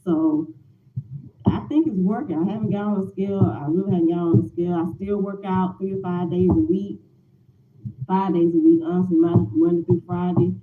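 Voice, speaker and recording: A woman's voice: on the low side, fairly even intonation, normal speed, roomy sound, narrow, tinny audio, background noise. A woman in her forties, a lifestyle vlogger chatting casually to viewers, rambling and repeating herself with many filler words. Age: 40s. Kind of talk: casual morning chat to viewers.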